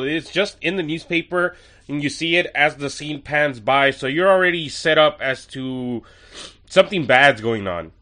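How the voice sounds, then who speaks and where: A man's voice: fairly high pitch, bright, forceful tone. A man hosting a podcast and reviewing an episode in a chatty, enthusiastic way with wry asides, a little nasal from a cold. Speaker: podcast host.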